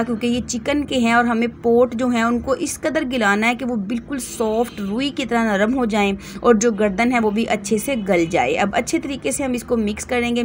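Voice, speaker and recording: A woman with a Pakistani accent narrating a cooking vlog as host, giving step-by-step instructions and repeating key words for emphasis. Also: fairly high pitch. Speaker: home cook and cooking channel host. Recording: clearly noisy home recording.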